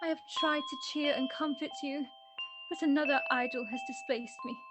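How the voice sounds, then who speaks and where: A woman playing a gentle, sorrowful but resolute young fiancée, earnest and theatrical in a radio play. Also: sits high, steady tone, normal speed, faint music behind.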